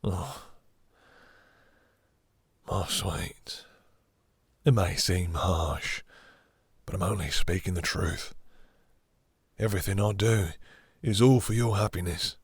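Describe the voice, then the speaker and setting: A man playing a possessive, domineering vampire master in an intimate roleplay audio drama, with slow, theatrical, condescending menace and a breathy, seductive purr. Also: deep voice, fairly even intonation, dry recording, clean studio sound, heavily acted. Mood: condescending, coaxing.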